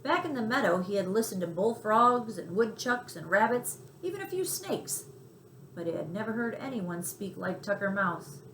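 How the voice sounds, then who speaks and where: A woman reading a children's book aloud in an expressive storytelling style. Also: medium pitch, normal speed. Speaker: storybook reader. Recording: roomy echo, faint background noise.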